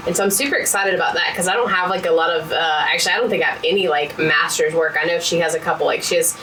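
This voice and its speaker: A woman co-hosting a casual hobby chat, low-key and matter-of-fact with dry understatement. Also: steady tone, rapid-fire, bright, forceful tone, distant mic, slight background noise, low-quality recording. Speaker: co-host of a diamond painting channel.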